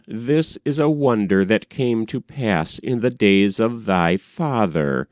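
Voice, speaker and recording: A man narrating an ancient tale as an audiobook reader, in a measured, solemn storytelling manner. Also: on the low side, a little mellow, no room sound, studio quality.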